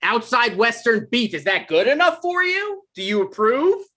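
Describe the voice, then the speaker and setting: Actor as an exasperated, slightly husky self-important novelist. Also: male voice, extremely high pitch, big pitch swings, moderate pace, bright, forceful tone, full character voice. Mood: indignant. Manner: argumentative, exasperated. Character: defensive, self-important male novelist, whiny and quick to anger. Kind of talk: live table read of a screenplay.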